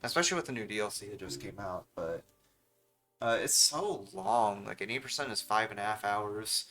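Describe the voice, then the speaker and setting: A man's voice: on the low side, expressive, fairly quick, bright, forceful tone, soft background music. A man who streams speedruns, chatting casually on a livestream over a game.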